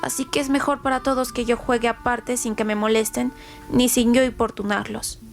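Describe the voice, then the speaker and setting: A woman's voice: on the high side, level tone, normal speed, creaky, faint music behind. A woman playing a cold, sadistic, withdrawn young boy, confessing in a detached, matter-of-fact way in a radio play. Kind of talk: dramatic first-person radio play monologue.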